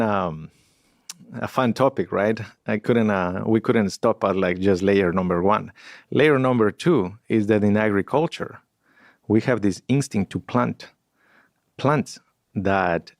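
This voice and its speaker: A man's voice: on the low side, measured pace, no room sound, studio quality. A man, a guest in a podcast interview, talking in a reflective way and searching for words. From Ecuador. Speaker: guest scientist.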